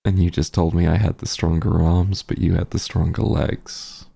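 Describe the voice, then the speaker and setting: A man as a gravelly, low-voiced tender monster hunter. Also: little pitch movement, full character voice. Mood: wistful, reminiscing. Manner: halting, emotional, gentle. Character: werewolf hunter, tender and remorseful, reunited with lost friend. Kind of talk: roleplay audio drama, intimate monologue to listener.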